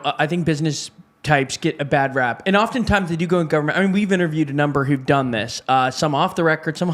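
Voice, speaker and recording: A man hosting a casual news discussion show, asking his co-host questions and adding wry asides. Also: fairly high pitch.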